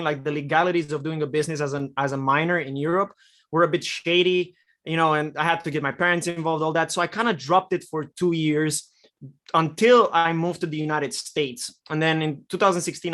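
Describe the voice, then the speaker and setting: Young Romanian-born man telling his story. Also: on the high side. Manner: relaxed storytelling with many fillers. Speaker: guest, e-commerce copywriter. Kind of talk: podcast interview answers.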